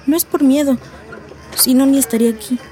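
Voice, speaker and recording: A woman's voice: high-pitched, fairly even intonation, a little fast, warm tone, natural voice. A woman with a Mexican accent acting in a radio play as a naive but determined migrant, earnest and questioning. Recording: music bed behind the voice.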